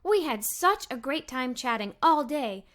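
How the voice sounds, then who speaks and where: A woman narrating a children's story as a read-aloud, with animated, expressive delivery. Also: high voice, a little slow.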